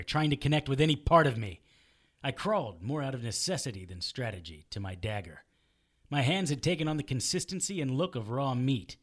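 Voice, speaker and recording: A man's voice: mid-range voice, noisy background, close to an everyday voice. A man playing a battered, wisecracking private investigator in an audio drama, narrating in a sardonic, hard-boiled manner.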